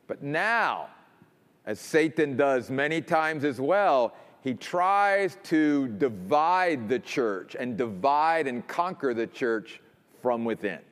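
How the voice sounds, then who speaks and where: A man preaching a sermon as pastor, emphatic, dropping low and quiet to stress points. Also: lively intonation, takes it slowly, in a large hall.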